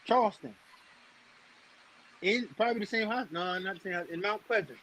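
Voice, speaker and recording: A man's voice: high voice, normal speed, a bit bright, a little hiss or hum, poor audio. A man from Jamaica co-hosting a casual talk show, emphatic and often stammering as he starts a point. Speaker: co-host. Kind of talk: casual chat.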